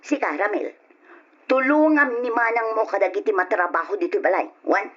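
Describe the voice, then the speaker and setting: An actress with an Ilocano accent playing a bossy, stingy aunt with a sharp, commanding manner in a radio drama. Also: female voice, on the high side, moderate pace. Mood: bossy, scolding. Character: bossy, stingy aunt scolding her young wards. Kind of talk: Ilocano old-time radio drama acting. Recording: dull lo-fi old radio drama recording.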